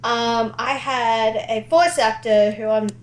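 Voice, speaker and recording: A woman's voice: a little high, steady tone, takes it slowly, roomy sound. A woman chatting casually and enthusiastically about video games as a guest who directs fan dubs.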